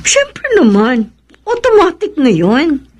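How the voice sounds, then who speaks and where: A lovestruck, determined bride-to-be in a Filipino radio play. Her voice is on the high side. She speaks emphatically, with earnest affection. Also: big pitch swings, slow, full character voice. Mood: playful. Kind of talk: Filipino radio drama acting.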